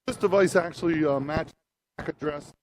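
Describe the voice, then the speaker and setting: Middle-aged man explaining products amid clear noise. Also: a little high, a little fast. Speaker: company product representative. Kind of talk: explanatory product talk in an interview. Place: large busy trade show hall, indoors. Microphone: interviewer's handheld microphone. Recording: noisy exhibition hall behind voice.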